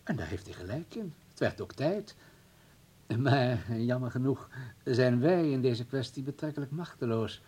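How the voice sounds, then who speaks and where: Husky, insinuating party official. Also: male voice, medium pitch, lively intonation, measured pace, warm tone. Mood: falsely conciliatory. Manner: hesitant mock politeness, veiled threats. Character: insinuating male political official, smooth-mannered and menacing. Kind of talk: radio play acting.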